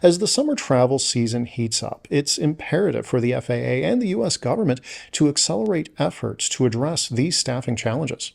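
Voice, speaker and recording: Male travel news host, scripted and measured read, creaky and husky voice. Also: mid-range voice, big pitch swings.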